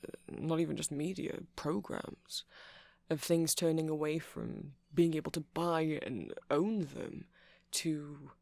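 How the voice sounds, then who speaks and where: A man playing a shy, nerdy vampire shop clerk in an ASMR roleplay, rambling gently and hesitantly to the listener. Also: on the high side, fairly even intonation, slow, vocal fry, no room sound. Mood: earnest, passionate. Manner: hesitant, awkward, apologetic, stammering, gentle. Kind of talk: ASMR roleplay, soft casual monologue to listener.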